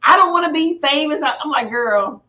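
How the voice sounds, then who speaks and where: Female talk host from Texas, American, bright and very animated, dramatic, chatty delivery. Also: high-pitched, fairly quick, dull audio. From Houston, Texas, United States. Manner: exclamatory, dramatic, acts out quoted remarks. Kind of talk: casual chat.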